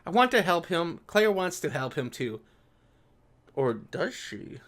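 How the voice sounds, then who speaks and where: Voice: medium pitch, big pitch swings, measured pace, a bit bright. A sarcastic, mocking commentator with an informal manner, reading aloud and reacting. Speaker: video commentator.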